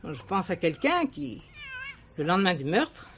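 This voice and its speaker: An actress playing a talkative, kindly village shopkeeper who adores cats and gossips in a confiding way in a television play. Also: female voice, on the low side, sing-song, a little slow, slight background noise. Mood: guarded, insinuating. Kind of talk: 1970s French television play dialogue.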